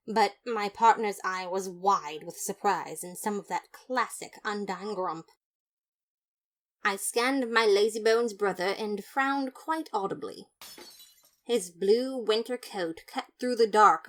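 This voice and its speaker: A woman's voice: medium pitch, a bit bright. A woman narrating a first-person comic detective story in a dramatic, mock-serious manner. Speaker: fan fiction narrator.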